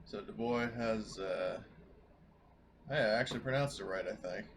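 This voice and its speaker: A man's voice: lowish voice, normal speed. A man talking casually as a player in a tabletop roleplaying game. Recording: clearly noisy background.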